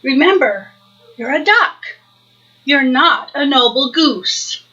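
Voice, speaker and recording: A woman's voice: sits high, expressive, slow, bright, forceful tone, lo-fi sound, exaggerated voice. A woman voicing a fussy, indignant female goose from a children's storybook, scolding and flustered.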